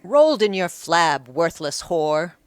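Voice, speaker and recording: A woman voicing a gruff, blustering foreign man in an audiobook, barking out accusations. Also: lowish voice, very animated, slow, no echo. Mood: furious.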